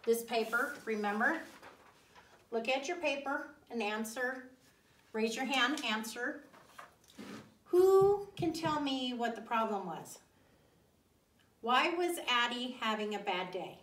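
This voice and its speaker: A woman teacher leading a classroom reading lesson, speaking deliberately and didactically, pausing, repeating and putting questions to pupils. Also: on the high side, expressive, fairly bright tone, a little hiss or hum. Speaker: elementary school teacher. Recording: roomy echo, distant mic.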